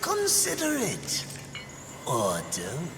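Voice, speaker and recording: Sly, swooping demon merchant. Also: male voice, on the high side, huge pitch swings, drawn-out words, exaggerated voice. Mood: sly, teasing. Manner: theatrical, mischievous. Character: sly, wheedling male demon merchant, mock-polite. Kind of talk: video game character acting. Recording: long reverb, music behind.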